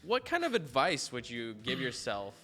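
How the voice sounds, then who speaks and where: A man's voice: mid-range voice, huge pitch swings, normal speed, pushed and bright, faint music behind. A man interviewing an art student, upbeat and affirming, laughing often. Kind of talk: casual interview.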